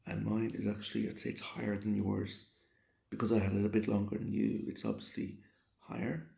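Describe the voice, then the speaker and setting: Low, gravelly man, flat and hoarse. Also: brisk. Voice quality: gravelly, slightly rough. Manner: reassuring, repeats and rephrases himself. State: hoarse from a cold. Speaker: vlogger answering a subscriber. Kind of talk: casual advice talk to camera. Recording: roomy, echoey sound.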